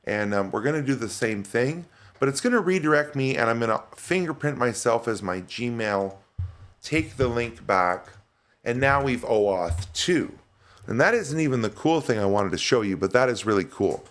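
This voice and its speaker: A man with a mid-pitched voice gives a casual screen-share demonstration of software. He talks informally, thinking aloud as he goes from step to step. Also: lively intonation, moderate pace. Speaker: software demo presenter.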